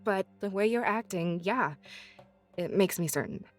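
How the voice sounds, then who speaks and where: A woman's voice: mid-range voice, normal speed, faint music behind, lightly acted. A woman voicing an earnest young seer, devoted to the spirits, in a visual novel game, explaining things with assurance. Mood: confident, cautious.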